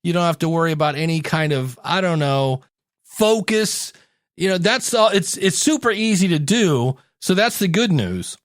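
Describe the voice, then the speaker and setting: A man hosting a solo podcast, giving advice in a conversational, animated way and acting out little quotes to make his points. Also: fairly high pitch, normal speed, dry recording, studio quality. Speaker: podcast host and coach.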